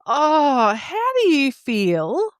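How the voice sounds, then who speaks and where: An Australian woman in her forties chats casually as a podcast co-host. Her pitch moves a lot as she acts out her reactions, and the recording is dry with hardly any room. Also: wildly swooping pitch, extremely slow, dry recording. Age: 40s.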